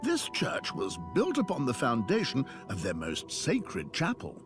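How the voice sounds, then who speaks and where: An actor playing a chatty, drily amused priest telling rambling stories in a video game. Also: male voice, mid-range voice, wildly swooping pitch, measured pace, over music. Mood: genial, explanatory. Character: chatty, wry priest and shepherd of a church. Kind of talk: video game character dialogue.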